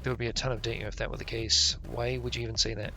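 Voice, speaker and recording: A man's voice: medium pitch, little pitch movement, very fast, pushed and bright. A man live-streaming a game, reading its text aloud and adding casual, sweary, offhand comments, with game music behind him. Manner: casual, profane, offhand asides.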